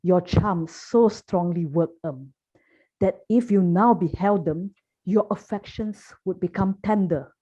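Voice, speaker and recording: A woman plays an airy spirit servant in a stage play, obedient and gently compassionate. The voice is low and mellow, reciting verse deferentially over dull online audio. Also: unhurried, slightly rough.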